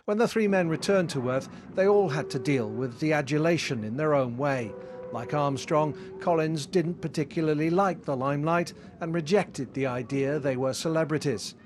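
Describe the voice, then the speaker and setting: A male correspondent narrates a scripted news report. His voice is pitched slightly higher than most men's. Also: normal speed, background noise. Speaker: science correspondent.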